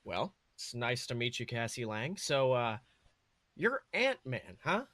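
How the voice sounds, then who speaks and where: A man's voice: mid-range voice, sing-song, measured pace, a bit bright, no room sound, pitched below the performer's own voice. A man voicing a cocky, joking billionaire superhero with glib, casual banter in a story reading. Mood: friendly.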